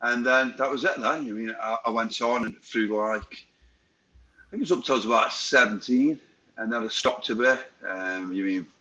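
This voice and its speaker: A man's voice: mid-range voice, normal speed, sharp tone. Rambling man on a dull call line. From Stoke-on-Trent area, England. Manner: rambling, informal storytelling with many restarts. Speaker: guest, bare knuckle boxing promoter.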